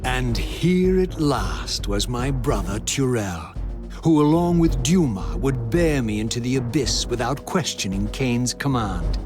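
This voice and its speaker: An actor voicing a vengeful, brooding undead wraith in a video game, narrating in ornate, deliberate, theatrical phrases. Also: male voice, medium pitch, lively intonation, over music, natural voice. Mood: solemn, brooding. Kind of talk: dramatic scripted game narration.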